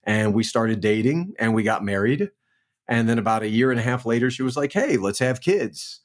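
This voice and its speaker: A man in his forties from New Orleans, a guest actor telling his career story in a relaxed, chatty way on a remote call. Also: mid-range voice, normal speed. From New Orleans, Louisiana. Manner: relaxed, storytelling, frequent "man" and "you know". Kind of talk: casual interview chat.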